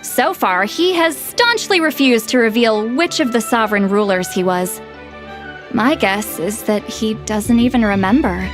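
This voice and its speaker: A haughty young female scholar from a video game. Her voice sits fairly high and she lays out her knowledge with crisp, articulate confidence. Music plays behind her. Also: lively intonation, a little slow. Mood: confident, explanatory. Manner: articulate, self-assured, explanatory. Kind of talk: video game dialogue, expository storytelling.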